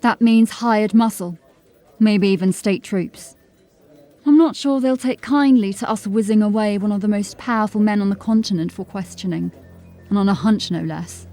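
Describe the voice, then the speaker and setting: A diligent constable in a dark fantasy audio drama, acted by a woman, with a middle-pitched voice. She reports in an articulate, measured way with a dry edge of wit. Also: faint music behind, natural voice. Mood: measured, wry. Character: sharp, diligent, drily witty female police constable.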